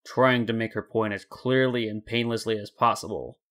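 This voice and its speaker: A man's voice: fairly low pitch, steady tone, normal speed, fairly bright tone. A man narrating a scripted story in a dramatic reading style. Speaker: narrator and fan fiction reader.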